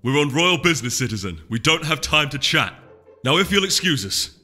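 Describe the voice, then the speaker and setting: Casting: man as irritable storybook Pegasus warrior, curt commands, forceful delivery, hall reverb. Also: on the high side, big pitch swings, fairly quick, pitched above the performer's own voice, full character voice. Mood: brusque. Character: gruff, irritable big male Pegasus soldier. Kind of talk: audiobook character voice. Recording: echoey hall reverb, music behind.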